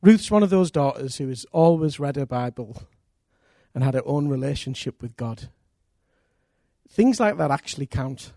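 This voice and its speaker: A man's voice: mid-range voice, animated, moderate pace, dry recording, clean studio sound. A middle-aged man, a church pastor, introducing a guest preacher with enthusiasm and leading a prayer. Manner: warm enthusiastic introducer, cheering.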